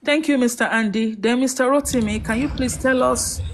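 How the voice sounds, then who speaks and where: Firm, higher-pitched woman moderator. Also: moderate pace, slight background noise. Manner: firm, directive. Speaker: discussion moderator. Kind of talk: moderating a group discussion.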